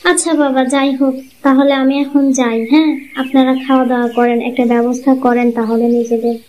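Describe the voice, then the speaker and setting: Actress as a scheming, high-voiced married woman. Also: flat delivery, fast talker, a little mellow, close to an everyday voice. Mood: meek. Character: bossy, scheming married woman in a family folktale. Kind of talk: cartoon fairy-tale voice acting. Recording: muffled lo-fi audio, clear background noise.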